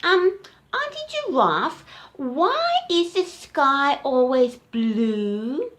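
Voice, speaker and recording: A woman's voice: high-pitched, sing-song, drawn-out words, a bit bright, pitched above the performer's own voice, exaggerated voice. A woman voicing a curious, timid little boy elephant who halts and hesitates with ums, in a storybook puppet show.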